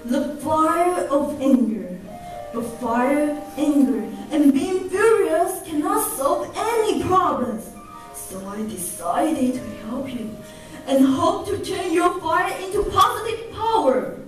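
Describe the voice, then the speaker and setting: A woman's voice: sits high, very animated, takes it slowly, full character voice. A woman playing a kindly, wise male doctor in an amateur radio drama. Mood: kindly, solemn. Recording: very echoey, distant, music behind.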